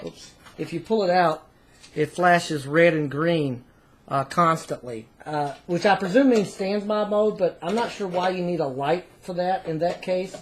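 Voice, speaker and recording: A man with a slightly high voice talks through a product review off the cuff. He is casual and a bit hesitant, rambling as he explains things. It is recorded in a small room at home on a camera's microphone. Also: a little hiss or hum.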